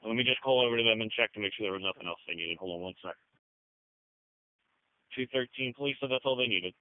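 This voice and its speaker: A man's voice: fairly low pitch, fast talker, clear, resonant tone, no room sound. A man working as a dispatcher, giving brief businesslike replies over two-way radio. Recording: tinny narrowband radio transmission.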